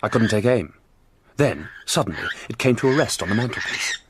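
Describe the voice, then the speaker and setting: A man's voice: fairly low pitch, expressive, brisk, noisy background, lightly acted. A man acting a shrewd, self-assured detective in an audio drama, telling his explanations with theatrical relish. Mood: suspenseful.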